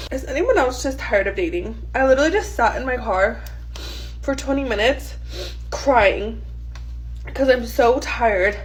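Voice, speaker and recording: A woman's voice: fairly high pitch, slight background noise, rough recording. A woman vents in a weary tone in a casual confessional vlog from her car. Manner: weary, venting. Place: sitting in a car.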